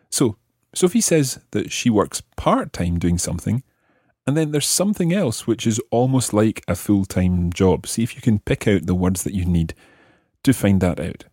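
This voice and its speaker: Male language tutor: low, slightly rough voice, close dry sound, didactic, repeats key phrases. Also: darkish tone, dry recording. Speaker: language lesson presenter. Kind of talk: explaining French grammar phrase by phrase.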